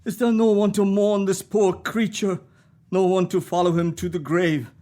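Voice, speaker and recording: A man acting a frightened, repentant old miser in a radio play, halting and pleading. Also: sits high, little pitch movement, mellow, lightly acted. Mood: fearful.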